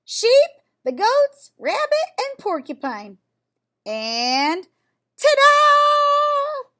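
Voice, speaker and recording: A big, genial storybook moose, voiced by a woman, calling out heartily in a high voice that swoops up and down. He is eager, polite and takes his time over his words. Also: wildly swooping pitch, drawn-out words, bright, forceful tone, heavily acted. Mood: proudly excited.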